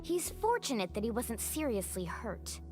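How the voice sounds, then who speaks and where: A woman voicing an earnest, cautious young guide in an animated video game, speaking emphatically. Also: a little high, normal speed, faint music behind. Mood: firm.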